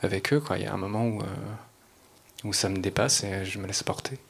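A man with a fairly low, creaky voice talks as a panel guest. He explains things off the cuff, pausing often and feeling for the right words. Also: steady tone, slight background noise. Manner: halting, explanatory. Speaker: audio fiction maker, panel guest. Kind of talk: unscripted panel discussion.

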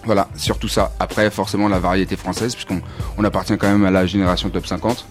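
A man's voice: sits low, little pitch movement, fast talker, faint music behind. A man speaking as a studio guest in a casual interview, informal and slangy in an easygoing way. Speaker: guest, rapper. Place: radio studio. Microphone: studio microphone with headphones.

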